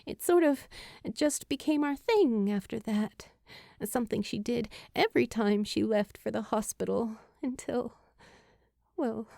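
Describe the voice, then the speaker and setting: A woman voicing an earnest, grieving teenage girl in an audiobook, halting and emotional as she speaks. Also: a little high, creaky, dark tone, no echo, studio quality. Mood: wistful, vulnerable. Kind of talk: acted dialogue in audiobook narration.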